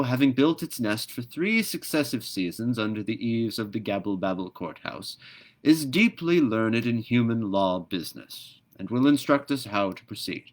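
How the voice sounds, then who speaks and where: A man plays a formal animal official from a storybook, addressing a bush court. The speech is solemn and declamatory, with wide swings of pitch. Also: lightly acted. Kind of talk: storybook read-aloud character voice.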